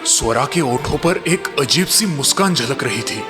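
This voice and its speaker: A man's voice: mid-range voice, expressive, unhurried. Man narrating dramatic stories over music. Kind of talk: dramatic scripted story narration.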